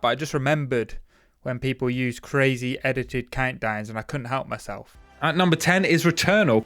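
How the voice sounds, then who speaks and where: A man's voice: medium pitch, a bit bright. A man with a head cold and a nasal voice, chatting casually and self-deprecatingly as host of a gaming video.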